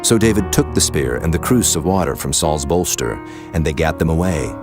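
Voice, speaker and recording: Deep-voiced male Bible narrator over music. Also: expressive, moderate pace.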